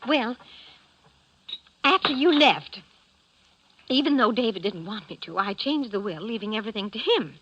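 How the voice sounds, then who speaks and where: A doting middle-aged mother in vintage radio acting, bright and very lively in intonation. She coaxes fondly with pet names, through a muffled old recording. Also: a little high, normal speed, pauses often, slight background noise. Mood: coaxing. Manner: fond, coaxing, uses pet names.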